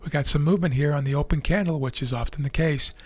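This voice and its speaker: A man with a raspy, tired voice, hosting a trading video and explaining live charts in a measured way with frequent fillers and restarts. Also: fairly high pitch. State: losing his voice.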